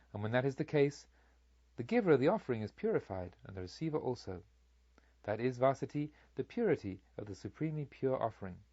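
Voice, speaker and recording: Male narrator as serene spiritual master, solemn deliberate preaching, expressive rises and falls, dry muffled sound. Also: mid-range voice, dry recording. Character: serene, authoritative male Buddha teaching his monks. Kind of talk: storybook narration dialogue.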